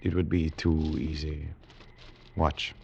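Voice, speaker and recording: Gravelly, deep, coldly menacing man. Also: little pitch movement, slow, a little mellow, exaggerated voice. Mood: coolly boastful. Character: cold, menacing, proud expatriate husband in the tropics. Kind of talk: 1940s radio acting. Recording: dull vintage radio recording.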